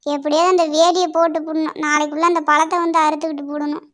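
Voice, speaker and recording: Someone doing a super high squeaky village mom for a cartoon, plaintive n grumbly, kinda flat. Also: fast. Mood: grumbling. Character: hard-up village mother in animated bird story, fretting, grumbling. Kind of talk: cartoon dialogue in colloquial rural speech.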